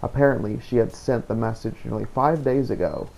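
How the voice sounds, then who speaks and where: A man narrating a scripted first-person journal of a game playthrough in a measured, formal storytelling manner. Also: mid-range voice, creaky, darkish tone, slight background noise, poor audio. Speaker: host and player of a game playthrough.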